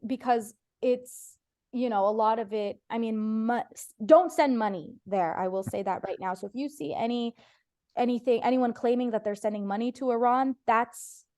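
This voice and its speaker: A woman's voice: on the high side, normal speed, warm tone. A woman comedian from Ohio, whose first language was Farsi, chatting casually as a podcast guest, telling stories with playful voiced quotes. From United States (Ohio).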